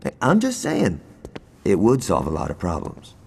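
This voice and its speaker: A man's voice: fairly low pitch, wildly swooping pitch, moderate pace, gravelly, a little mellow, husky, long reverb tail, exaggerated voice. An actor playing a hot-headed, swearing male gang member in a video game cutscene. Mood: aggressive. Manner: brash, swearing, aggressive.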